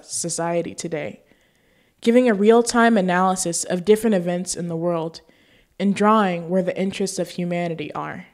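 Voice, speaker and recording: A woman hosting a show, reading scripted narration and appeals to viewers in an earnest, deliberate way. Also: fairly low pitch, darkish tone. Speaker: show host.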